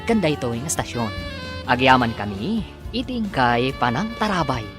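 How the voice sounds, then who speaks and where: A man's voice: mid-range voice, expressive, moderate pace. A man announcing and narrating a radio drama in a theatrical, emphatic, scripted delivery. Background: theme music.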